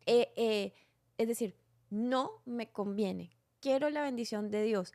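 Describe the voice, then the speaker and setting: A young woman talking as a guest about her faith, reflective and halting, restarting her sentences often. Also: fairly high pitch, darkish tone. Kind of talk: casual faith conversation.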